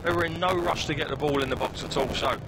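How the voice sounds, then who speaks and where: A man's voice: fairly high pitch, fairly even intonation, fast. A man working as a football co-commentator, giving opinionated, conversational live match analysis. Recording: noisy live outdoor broadcast.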